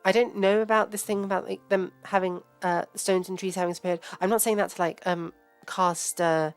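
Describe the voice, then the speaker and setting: A woman giving informal, rambling academic commentary as a livestream host, halting and digressing as she thinks aloud. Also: mid-range voice, steady tone, clean tone. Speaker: host and scholar giving a live reading and commentary. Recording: music playing behind the voice. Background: background music.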